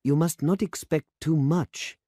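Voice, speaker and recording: A man's voice: mid-range voice, lively intonation, a little slow, dark tone, dry recording, clean studio sound, pitched above the performer's own voice. A man reading aloud and voicing an earnest, impatient young male farming demonstrator in an urgent, insistent manner.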